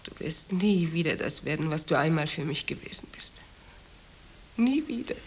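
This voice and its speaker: A woman's voice: deep voice, expressive, moderate pace, a little hiss or hum. An actress in a 1960s radio drama playing a haughty, wounded upper-class woman with a reproachful manner. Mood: bitter, wounded.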